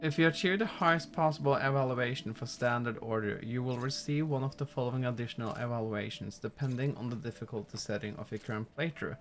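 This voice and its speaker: Male co-streamer, halting reader, faint music under him. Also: mid-range voice. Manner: reads game text haltingly, laughs it off. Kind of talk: casual gaming commentary.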